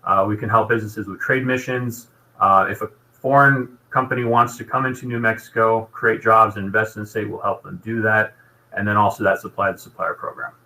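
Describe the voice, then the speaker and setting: A man's voice: lowish voice, steady tone, a little fast, pushed and bright, rough recording. A man giving an informational presentation about his program in an explanatory, businesslike manner.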